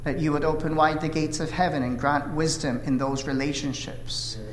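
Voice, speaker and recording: Man preaching, from south korea, korean native speaker, earnest and measured, long church reverb, faint hum. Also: fairly high pitch, steady tone. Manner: earnest, measured, frequent "uh" fillers. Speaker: pastor. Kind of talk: sermon with opening prayer. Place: church sanctuary. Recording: echoey hall reverb, faint room noise.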